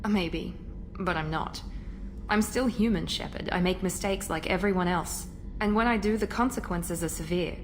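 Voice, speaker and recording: A woman's voice: fairly low pitch, moderate pace, slight background noise, natural voice. A woman voicing a supremely self-assured operative in a video game, businesslike, formal and matter-of-fact. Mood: guarded. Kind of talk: video game dialogue acting.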